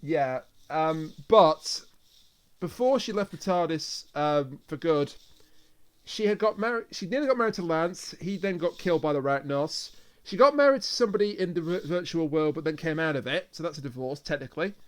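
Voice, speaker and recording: A man with a smooth, crisp voice sitting a little high in pitch. He talks casually, as a co-host in a relaxed review discussion. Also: moderate pace. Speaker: podcast co-host reviewing audio dramas.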